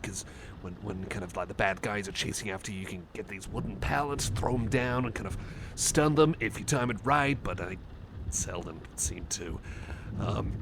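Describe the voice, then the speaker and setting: A man's voice: mid-range voice, vocal fry, noisy background. A man voicing a shy, anxious, affectionate young male cyborg friend, stammering and apologetic in an intimate ASMR roleplay. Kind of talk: ASMR roleplay, intimate one-sided chat.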